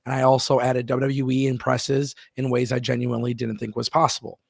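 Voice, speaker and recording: A man's voice: mid-range voice, monotone, brisk, creaky, slightly rough, no room sound, studio quality. A man hosting a live wrestling talk stream, speaking emphatically and reading out viewer messages. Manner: emphatic, repeats words, reads viewer messages aloud. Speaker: wrestling show host. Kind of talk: casual live-stream chat.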